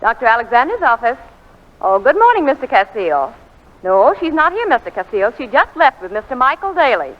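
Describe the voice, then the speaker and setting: A woman's voice: a little high, very animated, a little fast. A woman playing a polite office receptionist in a 1940s radio drama. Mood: polite, insistent. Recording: very noisy, muffled 1940s radio broadcast.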